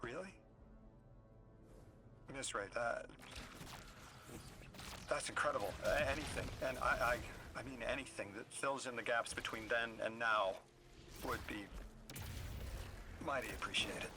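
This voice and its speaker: A voice actor playing an eager young male scholar who stammers with excitement. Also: mid-range voice, unhurried, long pauses, background noise, lightly acted. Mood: excited.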